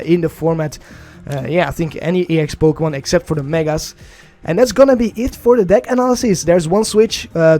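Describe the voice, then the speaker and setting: A man's voice: high voice, mellow. A young man whose first language is Dutch, casually commenting on an online card game in a chatty, rambling way, often correcting himself. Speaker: gaming channel host.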